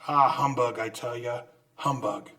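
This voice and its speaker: A man's voice: mid-range voice, steady tone, lightly acted. A man playing a crotchety, stubborn old miser who stammers and exclaims in an old-time radio drama. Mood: scornful disbelief. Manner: halting, exclamatory, stammering in fright.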